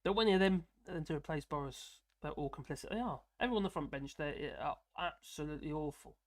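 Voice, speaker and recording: A man's voice: animated, quick pace. Light-voiced British man chatting casually. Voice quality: fairly high voice for a man. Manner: rambling, self-deprecating, chuckling often. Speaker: live stream host. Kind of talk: casual live chat reading comments.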